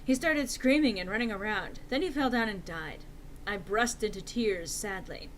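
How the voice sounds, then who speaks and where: A woman's voice: mid-range voice, lively intonation, moderate pace, slight background noise, poor audio. A woman narrating a fan fiction story as a theatrical, mock-serious dramatic reading.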